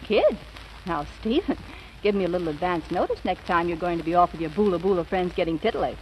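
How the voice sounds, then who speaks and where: An actress playing a sharp-witted, possessive secretary in a 1940s radio comedy, with a brisk, arch, scolding way of talking. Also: female voice, fairly low pitch, animated, close to an everyday voice. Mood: dry, chiding. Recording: noisy, hissy old radio broadcast.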